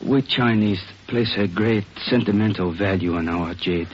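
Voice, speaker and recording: An actor playing a courteous, sentimental Chinese jade dealer in an old radio drama, speaking formally and with measured care. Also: male voice, on the low side, a little hiss or hum, natural voice. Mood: reverent, courteous. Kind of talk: 1950s radio drama acting. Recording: muffled old radio broadcast, music behind.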